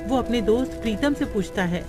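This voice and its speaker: Female storybook narrator for cartoon, higher register, light music bed. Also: animated, fairly quick.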